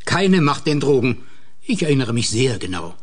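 A man's voice: medium pitch, big pitch swings, normal speed, husky. An actor playing a polite but defensive male campaign planner in a radio play.

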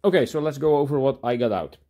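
A man talking casually through a hands-on demonstration in a workshop, halting and thinking aloud as he goes. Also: mid-range voice, mellow, a little hiss or hum. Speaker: hobby scrapper presenting his own video. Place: indoor cluttered workshop.

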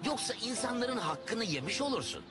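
A man's voice: very high voice, very animated, moderate pace. A man voicing a short-tempered animal dad in a cartoon, with an exasperated, complaining manner. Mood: restrained. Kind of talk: animated cartoon comedy acting. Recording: loud music behind the voice.

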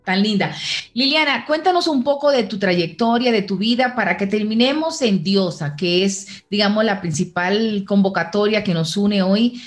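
A woman hosting an interview over a video call, asking warm, encouraging questions from a home room. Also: mid-range voice. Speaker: host. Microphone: video call with a studio microphone.

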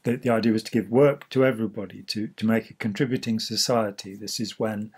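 A man, slightly hoarse, explaining history in a casual chat and gesturing freely as he talks. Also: lowish voice, fairly even intonation, a little fast. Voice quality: husky. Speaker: host. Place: small indoor room.

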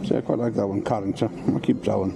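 A British man vlogging a bargain hunt, thinking aloud in a casual, low-key, matter-of-fact way. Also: fairly even intonation, fast talker, gravelly, over loud music. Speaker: vlogger hunting for bargains to resell. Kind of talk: casual muttered commentary to himself while browsing. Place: car park outdoors, then large charity warehouse shop. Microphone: body-worn action camera. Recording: noisy action-camera audio, shop and street ambience.

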